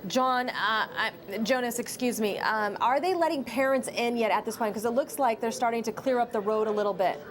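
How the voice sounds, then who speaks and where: A woman's voice: a little high, brisk, few pauses. An American woman anchoring live, unscripted breaking news coverage.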